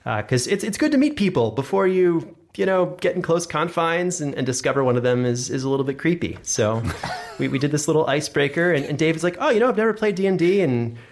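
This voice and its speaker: A man hosting a casual group chat as a podcast producer, explaining things in a halting, self-interrupting way. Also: fairly high pitch, animated.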